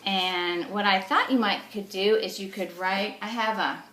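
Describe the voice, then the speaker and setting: A woman speaks slowly in a roomy, echoing space, in the style of a teacher at children's story time. She explains things in simple words and puts little questions to her young listeners as she reads. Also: mid-range voice, steady tone, a bit bright, slight background noise. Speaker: children's teacher reading stories. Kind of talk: animated storybook read-aloud for young children.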